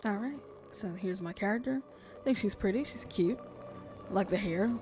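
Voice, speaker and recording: A woman streamer chatting casually while she plays, soft-spoken and sometimes nearly whispering. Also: mid-range voice, normal speed, faint music behind. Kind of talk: casual gameplay commentary. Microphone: desk streaming mic.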